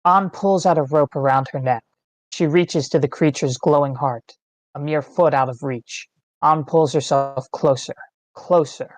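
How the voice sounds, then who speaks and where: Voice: medium pitch, a little slow, no echo. Stage-direction narrator: terse dramatic phrasing, scripted table read, close dry sound. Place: online meeting, close dry mic.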